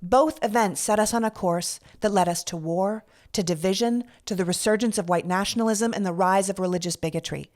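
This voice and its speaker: A woman's voice: medium pitch, a little fast, few pauses, a little mellow. A middle-aged woman from Canada hosting a podcast, delivering an earnest, candid political monologue with casual swearing and rhetorical questions. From Canada, Toronto. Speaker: podcast host.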